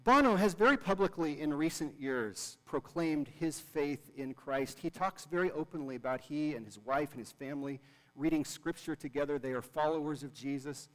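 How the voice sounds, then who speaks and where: An earnest male pastor delivers a sermon, often doubling his words for emphasis. His voice sits a bit higher than most men's. The sound carries the long reverb of a large church hall. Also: normal speed, fairly bright tone.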